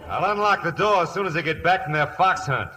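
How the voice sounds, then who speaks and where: An actor playing a sarcastic, menacing American schemer who talks in folksy slang, in old-time radio comedy. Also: male voice, on the high side, animated, fairly quick, natural voice. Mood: gloating menace. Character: gruff, sneering male small-town schemer threatening exposure. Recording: faintly noisy vintage radio recording.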